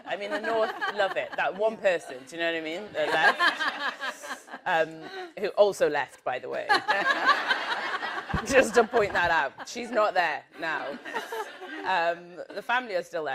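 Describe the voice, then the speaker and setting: A woman's voice: lowish voice, very animated, unhurried, very noisy. A woman from northern England speaking as a guest on a live panel, chatty and self-deprecating, with laughing asides. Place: stage at a live podcast event.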